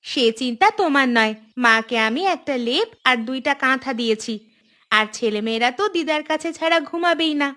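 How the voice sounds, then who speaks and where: A woman's voice: high-pitched, lively intonation, a little fast, sharp tone. A woman voicing a caring, hardworking young village wife in a Bengali cartoon. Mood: fond, brisk.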